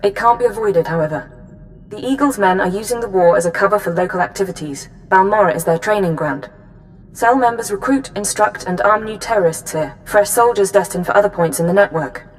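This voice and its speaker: A woman as a brisk intelligence handler. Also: medium pitch, faint music behind, natural voice, rough recording. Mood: businesslike. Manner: crisp, businesslike briefing.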